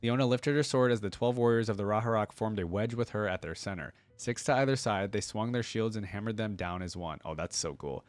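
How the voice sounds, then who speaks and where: A man's voice: lowish voice, fairly quick, hardly any pauses, no room sound. A man hosting a reaction video, reading a story aloud and commenting in a casual, slangy way.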